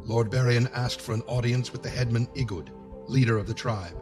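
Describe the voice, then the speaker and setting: A man's voice: medium pitch, steady tone, brisk, music in the background. A man narrating a medieval fantasy game story from a script, in a storytelling style.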